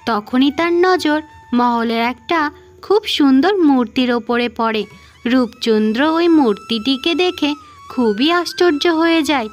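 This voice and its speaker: Female storyteller for cartoon fairy tales, high voice, scripted delivery, light music bed. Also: animated, measured pace. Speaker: narrator. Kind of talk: scripted fairy-tale narration. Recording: music behind the voice.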